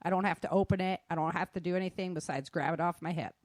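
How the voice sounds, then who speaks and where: A woman's voice: lowish voice, fast, a little mellow, no room sound. Woman giving dry-recorded, plain-spoken advice. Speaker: guest sharing self-defense advice. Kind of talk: casual conversational advice.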